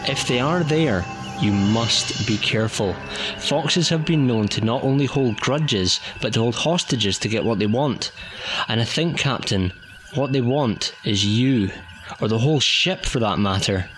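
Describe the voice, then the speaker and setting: An actor playing an earnest, worried rabbit lieutenant in an audio drama, warning with urgency. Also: male voice, mid-range voice, lively intonation, unhurried, fairly bright tone, faint music behind, rough recording.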